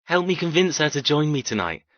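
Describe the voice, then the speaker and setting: A woman's voice: low-pitched, big pitch swings, brisk, no echo, muffled recording, pitched below the performer's own voice. A woman voicing a playful, protective young adult brother in an audiobook, with a teasing, easygoing manner. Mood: coaxing. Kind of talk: audiobook character dialogue.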